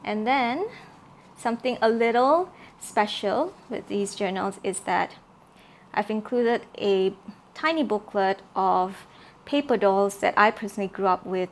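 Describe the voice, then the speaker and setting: A soft-spoken woman with a gravelly, creaky texture to her voice walks through her craft kits in a casual show-and-tell. She talks at an easy middle pitch, stopping often for fillers and restarts. A faint hiss sits under the recording. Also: animated, measured pace. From Malaysia. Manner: soft-spoken, many fillers and repeated words. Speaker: craft journal maker showing her kits.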